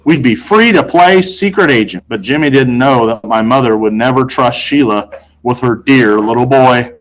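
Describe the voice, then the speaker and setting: A man's voice: mid-range voice, normal speed, rough recording. Playful man reading a children's story. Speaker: storybook reader. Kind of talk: expressive read-aloud narration of a children's novel in a boy's first-person voice.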